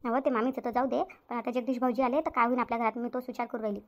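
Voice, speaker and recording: Woman acting a rapid-talking, resigned village sister-in-law. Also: fairly high pitch, little pitch movement, natural voice. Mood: resigned worried. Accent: rural Maharashtra, Varhadi Marathi. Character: harried elder village sister-in-law, resigned, dutiful, accommodating. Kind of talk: domestic cartoon story dialogue.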